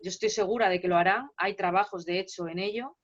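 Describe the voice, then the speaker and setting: A woman with a Spanish accent, a guest lecturer answering questions in an online seminar, explaining in a brisk, assured way. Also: medium pitch. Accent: Spain Spanish. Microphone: video call. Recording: dull lo-fi call audio.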